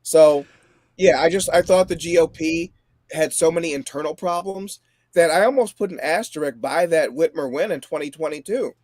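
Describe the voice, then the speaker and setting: A man's voice: fairly high pitch, moderate pace, darkish tone, no room sound. A man around forty chatting casually about politics as a show guest. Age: 40s.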